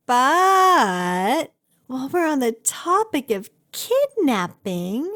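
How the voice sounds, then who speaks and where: A woman's voice: fairly high pitch, full character voice. A woman playing an obsessive, doting, clingy kidnapper in an ASMR roleplay, with a gushing, coaxing delivery. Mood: adoring, gushing. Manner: gushing, coaxing, dramatic emphasis.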